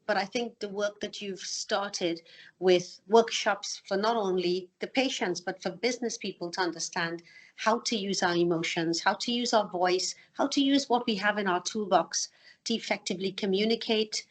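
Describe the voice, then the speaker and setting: A woman's voice: mid-range voice, fairly bright tone, low-quality recording. A woman hosting a podcast interview, speaking with frequent um fillers. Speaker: podcast host, charity trustee. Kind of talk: conversational interview.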